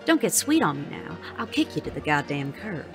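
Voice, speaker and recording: Tough frontier madam played by a woman, low-pitched, cutting and dry Western drawl, audio Western. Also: big pitch swings, fairly quick, over music. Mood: scornful, dry. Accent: American Western drawl. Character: tough, prickly, foul-mouthed Wild West saloon madam. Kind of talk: audio drama acting.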